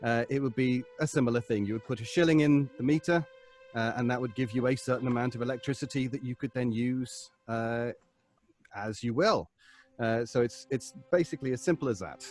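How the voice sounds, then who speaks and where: A man's voice: medium pitch, fairly quick, a little mellow, soft background music. An English man chatting casually on a live stream as a cast member, wry and affable as he explains things.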